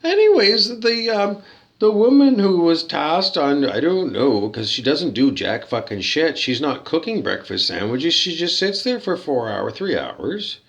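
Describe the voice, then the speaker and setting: A man's voice: fairly high pitch, sing-song, measured pace, creaky. A man ranting in a rambling, hesitant way, repeating himself and swearing when riled. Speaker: hotel guest reviewing his loot bag. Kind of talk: rambling complaint rant. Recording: dull lo-fi recording.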